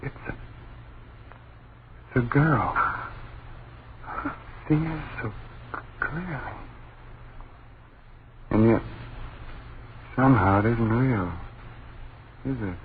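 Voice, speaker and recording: An actor playing an awestruck, frightened husband in an old radio horror drama, speaking in hushed, halting dramatic phrases. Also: male voice, medium pitch. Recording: tinny, hissy old radio recording.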